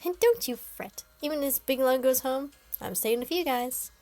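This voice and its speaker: A woman's voice: high voice, moderate pace, darkish tone, faint music behind, voice pushed up, lightly acted. A woman voicing a brash, teasing girl who hates losing.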